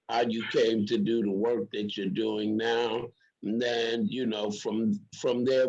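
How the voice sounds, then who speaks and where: Gravelly, husky man hosting an interview. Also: mid-range voice, muffled recording. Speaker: program host. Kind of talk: casual interview talk.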